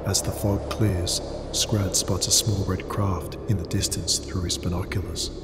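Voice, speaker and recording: A man reading scripted cinematic sci-fi narration in a solemn, measured manner. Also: low voice, level tone, echoey room, long reverb tail, very noisy.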